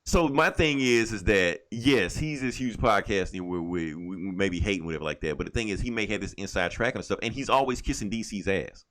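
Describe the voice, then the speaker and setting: A man's voice: fairly low pitch, brisk, hardly any pauses, fairly bright tone. A man co-hosting a casual podcast about comics and movies, talking in an emphatic, opinionated way with plenty of swearing. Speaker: podcast co-host.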